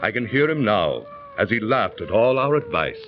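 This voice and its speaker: An actor playing a solemn, cautionary judge in declamatory 1930s radio drama style. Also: male voice, fairly low pitch, very animated, moderate pace. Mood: grave. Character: grave, cautionary male judge haunted by a warning. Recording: muffled, faintly noisy 1930s radio recording.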